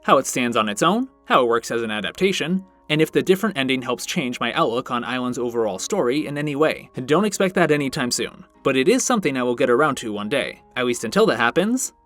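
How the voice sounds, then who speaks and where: A man presents a scripted review in a conversational, slightly wry tone. His pitch sits in the middle of the male range and moves around in a lively way. Soft music plays behind his narration. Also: fast, hardly any pauses. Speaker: video reviewer, channel host. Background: background music.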